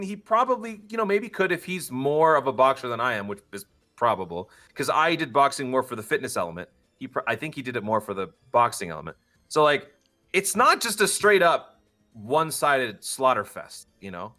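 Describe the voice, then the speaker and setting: A young man with a bright, forceful voice at an ordinary middle pitch. He chats casually as a podcast guest, joking and teasing himself in a playful way. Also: expressive, brisk. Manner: playful, jokey, self-deprecating.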